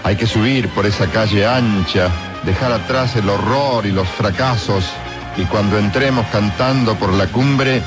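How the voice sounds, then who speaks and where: A man's voice: fairly low pitch, a little slow. A man reciting poetic prose in an old radio clip. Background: music.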